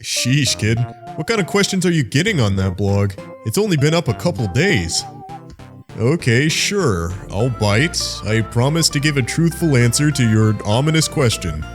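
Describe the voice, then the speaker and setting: A man's voice: medium pitch, very animated, normal speed, faint music behind, lightly acted. A man voicing an easygoing monster in a cartoon dub, casual and dryly joking. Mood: easygoing, wry. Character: laid-back, wry male monster family friend.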